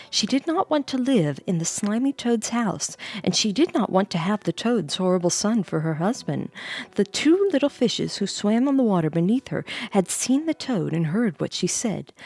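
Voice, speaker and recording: Creaky-voiced woman streamer reading a bedtime story. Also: mid-range voice, a little mellow, no room sound. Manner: playful, reacting aloud to the story. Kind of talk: storybook reading with casual livestream asides. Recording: close dry voice over background music.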